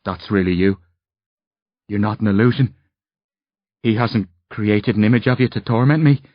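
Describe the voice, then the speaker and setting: Man voicing a wheezy, weary low-voiced uncle. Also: moderate pace, no echo, close to an everyday voice. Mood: shaken, quiet. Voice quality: wheezy, breathy. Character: weary, shaken uncle, gentle after a nightmare. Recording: dull, close dry audio.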